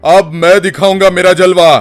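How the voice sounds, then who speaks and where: A man's voice: high voice, level tone, normal speed, soft background music, lightly acted. A man voicing a drunken, bullying village husband in a cartoon story, boastful, scornful and blustering. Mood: mocking. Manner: blustering, taunting.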